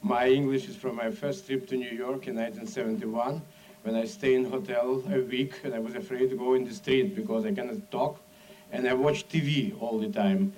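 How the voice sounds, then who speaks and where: A man from the Soviet Union with a Russian accent gives a public speech in broken, non-native English, telling his story in an animated, dramatic way with emphatic asides. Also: mid-range voice, moderate pace, poor audio. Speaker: speaker at an event.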